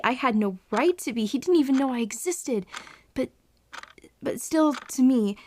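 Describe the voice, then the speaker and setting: An actress plays a young woman volunteer in an audio drama. Her voice sits fairly high, and she speaks earnestly and hesitantly, with a lonely, soft-hearted temper. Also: a little hiss or hum, natural voice. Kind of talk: first-person audio drama narration and dialogue.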